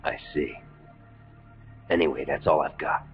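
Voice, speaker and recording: An actor playing an earnest, steady American soldier who explains things seriously in a video game cutscene. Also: male voice, extremely low pitch, a little slow. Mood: weary, grave. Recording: dull lo-fi game capture. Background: loud music.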